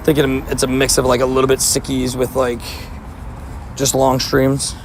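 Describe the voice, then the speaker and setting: A man live-streaming outdoors, chatting casually with viewers and answering their chat messages, with a slightly hoarse voice. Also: mid-range voice, completely flat delivery, normal speed, a little hiss or hum. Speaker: livestream host. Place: outdoors by a street with traffic.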